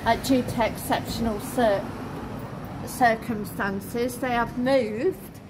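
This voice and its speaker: A woman in her thirties walking city streets and pointing out shops and sights, talking haltingly with many ums and uhs into a phone camera held at arm's length. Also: a little high, steady tone. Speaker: travel vlogger. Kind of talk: walk-and-talk vlog narration. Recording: street noise behind the voice. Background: traffic and street ambience.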